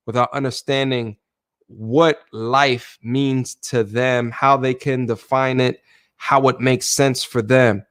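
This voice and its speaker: A man hosts a livestream discussion, reading out viewer comments and reflecting on them at a measured pace. His voice sounds dry and close, with no room around it. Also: medium pitch, clean tone, no echo. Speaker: host.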